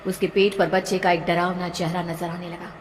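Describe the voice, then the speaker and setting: A woman's voice: on the low side, little pitch movement, fairly quick, a little hiss or hum. A woman narrating a scripted horror story in a dramatic storytelling manner. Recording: reverberant, with background music.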